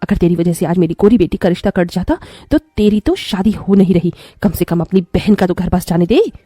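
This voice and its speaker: A woman's voice: on the low side, brisk, dark tone, no room sound, lightly acted. A woman voicing a spiteful, haughty middle-aged stepmother who taunts and scolds in a cartoon story. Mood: scolding.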